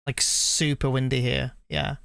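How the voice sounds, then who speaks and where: A man's voice: mid-range voice, level tone, unhurried, gravelly, a bit bright, dry recording, studio quality. A man streaming a game, chatting casually and musing aloud in a rambling way. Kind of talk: casual live-stream chat and reaction.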